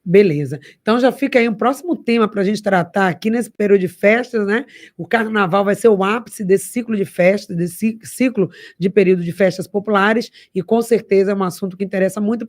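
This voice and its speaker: A woman hosts a radio interview. She is lively and emphatic, often finishing the guest's thoughts. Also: mid-range voice. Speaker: radio host.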